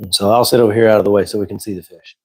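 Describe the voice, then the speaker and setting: A man's voice: fairly low pitch, slightly rough. A man hosting a casual livestream who is losing his voice, chatting easily and answering viewers' questions. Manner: relaxed, conversational, answers chat questions. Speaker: livestream host.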